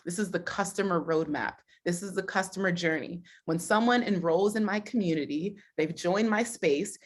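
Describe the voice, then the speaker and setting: A young adult woman giving an upbeat motivational keynote at a summit, laughing often and stressing her points in lists. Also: lowish voice. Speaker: summit speaker.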